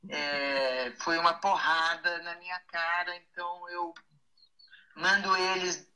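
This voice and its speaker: A woman's voice: lowish voice, flat delivery, takes it slowly, bright, forceful tone, poor audio. A woman giving informal, outspoken commentary as a guest on a casual talk show.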